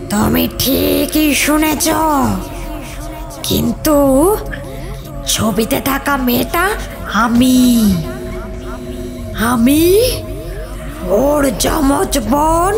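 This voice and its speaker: A gravelly, slow ghost woman. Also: sits high, over music, exaggerated voice. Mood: eerie. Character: ghostly female spirit, vengeful yet grieving. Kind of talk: cartoon voice acting.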